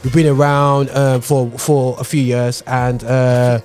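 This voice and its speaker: A man's voice: medium pitch, little pitch movement, slow, a little mellow, soft background music. A man co-hosting a podcast in a studio, chatting casually and animatedly, peppering his talk with "you know what I'm saying". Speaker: podcast co-host. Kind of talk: casual chat. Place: radio studio.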